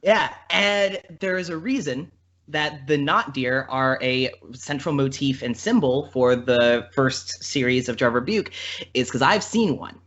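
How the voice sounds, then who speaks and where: A man's voice: medium pitch, a little slow, a bit bright. A man from the American Midwest, a podcast guest telling stories casually in an earnest, emphatic way that repeats phrases. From Midwestern United States. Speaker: podcast guest, audio drama creator. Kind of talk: casual anecdotal storytelling. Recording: dull lo-fi audio.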